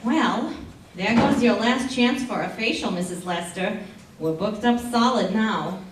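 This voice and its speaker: A woman playing a brisk beauty parlor receptionist in an old-time radio play. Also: mid-range voice, natural voice. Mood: businesslike. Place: theater stage, live performance. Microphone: stage stand mics, distant camera. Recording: noisy, echoey distant stage.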